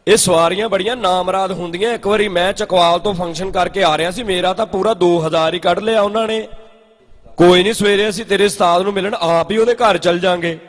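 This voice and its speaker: A man's voice: sits high, steady tone, quick pace, lightly acted. A man with a Pakistani Punjabi accent voicing a bossy, sarcastic Mughal emperor in a comic cartoon dub. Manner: teasing, blustering. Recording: music under the voice.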